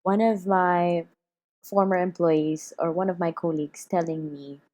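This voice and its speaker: A woman's voice: on the low side, steady tone, measured pace, darkish tone. A woman giving a casual makeup tutorial voice-over in a chatty, self-deprecating way. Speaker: beauty vlogger. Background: background music.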